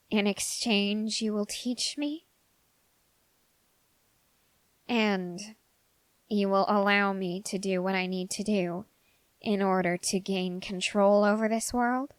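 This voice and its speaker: A woman plays an ambitious young man with a dark heart in an intimate ASMR roleplay. The delivery is slow and formal, full of deliberate pauses. The sound is dry and close, with no room around it. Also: mid-range voice, no room sound, close to an everyday voice. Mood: wary, submissive. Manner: deliberate, halting, formal phrasing with drawn-out pauses. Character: ambitious, dark-hearted young male human bargaining with a demon.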